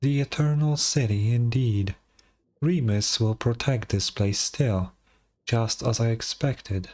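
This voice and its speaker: A man's voice: medium pitch, a little mellow, lightly acted. Man reading as a solemn, formal ancient game character. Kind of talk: video game dialogue read aloud.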